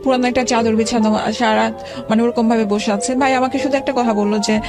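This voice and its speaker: A woman in her forties, a guest telling her own life story in an interview, speaking haltingly with many pauses and restarts. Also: fairly high pitch, fairly even intonation. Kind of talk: emotional personal account in an interview. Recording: background music under the talk.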